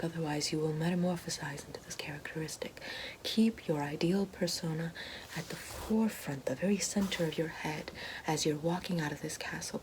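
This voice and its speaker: A woman playing a regal, menacing evil queen witch in an ASMR fantasy roleplay, speaking slowly and formally in a soft, breathy, often whispered voice. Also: on the low side, slight background noise, lightly acted, rough recording. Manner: slow, deliberate, formal.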